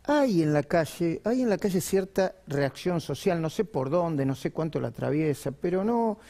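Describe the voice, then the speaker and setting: Gravelly-voiced Argentine TV host. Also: male voice, on the high side, lively intonation, moderate pace, dark tone. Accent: Argentine Spanish. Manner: conversational, musing, trailing off mid-sentence. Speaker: TV news host. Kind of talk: live TV panel conversation. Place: TV studio. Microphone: headset mic.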